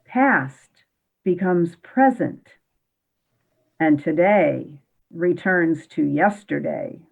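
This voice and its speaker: A woman playing a solemn, mysterious narrator, reading poetic radio play narration in a measured, dramatic way over a thin connection. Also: lowish voice, animated, creaky, breathy edge, exaggerated voice. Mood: solemn mystery. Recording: thin, phone-like sound.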